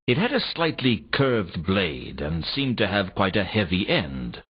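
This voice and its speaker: A man's voice: low-pitched, huge pitch swings, unhurried, slightly rough, heavily acted. A man playing a world-weary, dryly sardonic private detective in a noir video game. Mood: dry, inquisitive. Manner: dry, sardonic. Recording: dull, lo-fi game audio.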